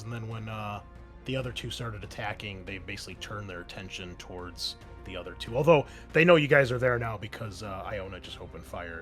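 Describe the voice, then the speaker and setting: A man's voice: fairly low pitch, fairly bright tone. A man narrating a tabletop roleplaying game as game master, in a casual, conversational way. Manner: casual, conversational, mild swearing.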